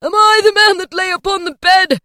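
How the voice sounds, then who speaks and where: A woman voicing an old miserly man, terrified and pleading, in a loud, high, desperate voice. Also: unhurried.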